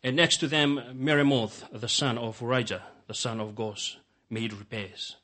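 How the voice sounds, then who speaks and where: A man whose first language is Fijian, preaching a sermon in English in short phrases with many fillers, pausing for an interpreter. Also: mid-range voice, steady tone, sharp tone. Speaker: guest preacher.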